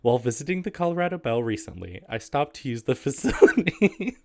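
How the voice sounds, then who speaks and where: A man's voice: medium pitch, very animated, a little fast. A man casually hosting a video and reading humorous letters aloud, easily amused and prone to giggling. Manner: giggly, often breaking into laughter while reading.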